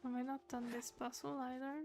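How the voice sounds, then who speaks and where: High-pitched, creaky-voiced woman gaming. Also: flat delivery, brisk, a little mellow, soft background music. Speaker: game streamer. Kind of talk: casual gameplay chat.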